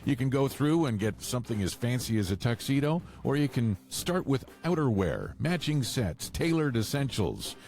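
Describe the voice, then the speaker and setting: A man's voice: medium pitch, very animated, moderate pace, few pauses, darkish tone. A man reading podcast sponsor spots conversationally, with wry, playful asides. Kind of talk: conversational ad read.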